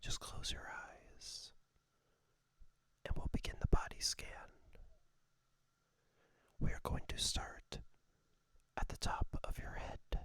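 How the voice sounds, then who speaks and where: ASMR meditation guide, breathy whisper, very slow pacing, gentle soothing instructions. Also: no room sound. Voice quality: soft breathy unvoiced whisper. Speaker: ASMR host guiding a meditation.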